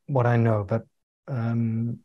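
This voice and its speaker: A young man from the UK explains things over a video call. He picks his words carefully, with hesitant pauses, in a plain, mid-pitched voice. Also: completely flat delivery, lo-fi sound. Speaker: co-host. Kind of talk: casual video call chat.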